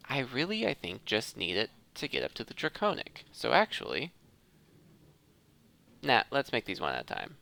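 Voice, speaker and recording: A man's voice: fairly low pitch, creaky, bright, forceful tone. A man hosting a gaming video, giving casual commentary and thinking aloud as he talks through each step. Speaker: host of a gaming video series.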